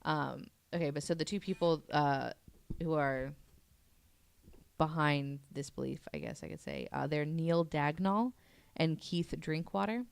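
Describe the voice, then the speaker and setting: A woman's voice: sits low, gravelly, clear, resonant tone, no echo. A woman co-hosting a podcast in casual chat, laughing often, using lots of fillers, and reading from an article. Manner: reads article aloud haltingly. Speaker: podcast co-host presenting research.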